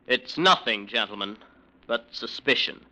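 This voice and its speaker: An actor playing a conflicted, frightened defense lawyer in an old radio crime drama, earnest and halting. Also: male voice, medium pitch, lively intonation, pushed and bright, poor audio. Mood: formal, faltering. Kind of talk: 1940s-50s radio crime drama acting.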